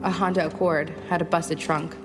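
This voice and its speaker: A woman's voice: fairly low pitch, level tone, normal speed, in a large hall, over music, natural voice. A woman playing a weary, determined survivor, narrating a first-person audio drama and acting out her dialogue. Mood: weary, uneasy. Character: Ava, weary, determined young woman surviving an alien invasion. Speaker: narrator and lead actor.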